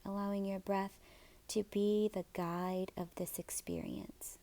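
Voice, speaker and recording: A woman teaching gentle yoga and guided meditation in a soft, soothing, breathy way. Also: medium pitch, little pitch movement, a little slow.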